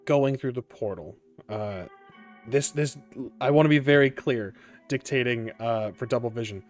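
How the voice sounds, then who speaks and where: A man speaking as the game master and host of a tabletop roleplaying session, choosing his phrases in a measured way. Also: mid-range voice, soft background music.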